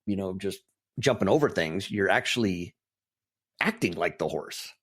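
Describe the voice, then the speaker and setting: A man's voice: low-pitched, animated, normal speed. Enthusiastic male podcast host. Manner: enthusiastic, rambling, warmly encouraging. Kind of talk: casual interview.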